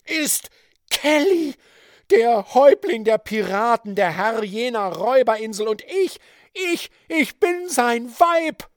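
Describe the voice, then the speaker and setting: A man voicing a wild, vengeful woman who lashes out in scornful, high-pitched outbursts in an audiobook reading. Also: animated, takes it slowly, no room sound, clean studio sound, heavily acted. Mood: anguished. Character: wild, vengeful woman, mocking and half-mad.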